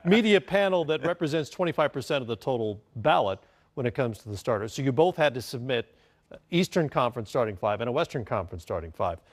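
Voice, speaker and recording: A man's voice: mid-range voice, very animated, fast. A man hosting a studio sports panel, moving between scripted-sounding setups and conversational talk. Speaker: sports show host.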